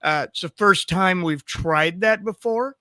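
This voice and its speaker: A man hosting a live stream, hoarse from a cold, talking plainly and reading out viewer questions. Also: high-pitched, a little slow, bright, forceful tone, dry recording, clean studio sound. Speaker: host. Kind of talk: casual live-stream talk.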